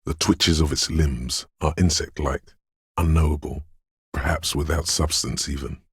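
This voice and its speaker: A man voicing a detective's eerie, dreamy intuition in a video game, narrating in a husky, hushed, ominous and poetic way. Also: fairly even intonation, a little slow, dry recording, studio quality, full character voice. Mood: eerie, wistful. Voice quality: husky, gravelly bass. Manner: hushed, ominous, poetic, lingering on short phrases. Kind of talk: atmospheric second-person video game narration.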